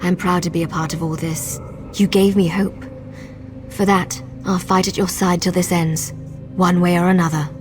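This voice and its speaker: Low-voiced, earnest rebel woman played by an actress. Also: lightly acted. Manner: earnest, wryly understated. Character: proud, sincere young rebel woman from a sheltered forest. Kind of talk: dramatic fantasy game dialogue. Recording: studio voice under prominent music.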